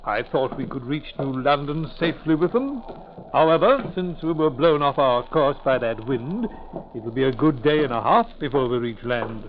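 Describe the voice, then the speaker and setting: A man's voice: mid-range voice, expressive, lightly acted. A man acting a courteous, businesslike ship's officer in a 1940s radio drama, formal and a little hesitant, on a tinny, hissy recording. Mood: matter-of-fact. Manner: polite, measured, hesitant formality. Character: courteous, businesslike male ship's officer showing a grim find.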